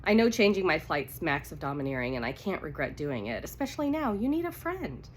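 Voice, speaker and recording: A woman's voice: low-pitched, big pitch swings, a little fast, a little hiss or hum. Woman reading as a protective, reassuring guy best friend. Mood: earnest. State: congested with a cold.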